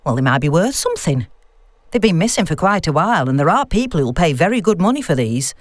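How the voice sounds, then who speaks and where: A woman's voice: lowish voice, very animated, quick pace, dry recording. A woman voicing a knowing, unflustered female academic who tells spooky tales in an audiobook story. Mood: matter-of-fact. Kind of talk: audiobook dialogue.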